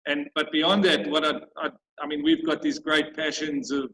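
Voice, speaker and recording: A man's voice: on the high side, steady tone, sharp tone, slight background noise, low-quality recording. A man speaking on a video call, full of restarts and repeated words as he thanks supporters and talks about his sports program. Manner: halting, many restarts. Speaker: school sports program organizer. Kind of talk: grateful casual video call chat.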